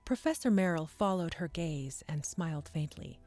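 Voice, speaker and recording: A woman reading scripted fantasy narration in a measured, descriptive way. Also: deep voice, animated, darkish tone, faint music behind.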